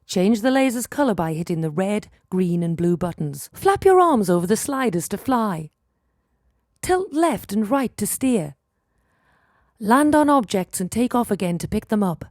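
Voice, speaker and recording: A woman with a medium-pitched voice delivers scripted game instructions. She enunciates clearly, in the manner of a tutorial narrator. The recording is dry, with no room sound on the voice. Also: big pitch swings, moderate pace, darkish tone, no echo.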